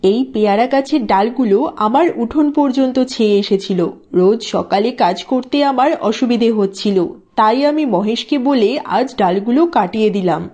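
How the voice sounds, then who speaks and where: A woman's voice: on the high side, moderate pace, mellow, close to an everyday voice. A woman voicing a quarrelsome, easily annoyed village housewife in a cartoon. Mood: indignant. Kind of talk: Bengali cartoon voice acting. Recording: dull audio with music underneath.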